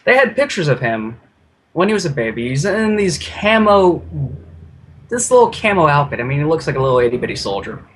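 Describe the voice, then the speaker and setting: A man's voice: fairly high pitch, very animated, a little fast, a bit bright. A man in casual conversation, talking in an informal, effusive and rambling way. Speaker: podcast guest and YouTuber. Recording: noisy, ambient background noise.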